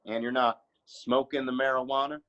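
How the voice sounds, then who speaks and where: A man's voice: mid-range voice, level tone, normal speed, a bit bright, muffled recording, close to an everyday voice. A man playing a teasing, affectionate, folksy family friend in a screenplay table read. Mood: teasing, chiding. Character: sassy, teasing, motherly family friend named Rufus.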